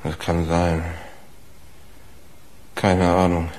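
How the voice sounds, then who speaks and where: Very deep, slow, battered trucker. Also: male voice, little pitch movement, darkish tone. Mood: weary. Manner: slow, sardonic. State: injured, in pain, weak. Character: badly beaten male trucker, wry and bitter.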